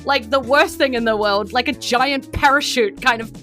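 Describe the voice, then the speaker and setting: A woman game master improvising narration for a tabletop game, with animated storytelling and halting asides. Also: fairly high pitch. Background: faint music.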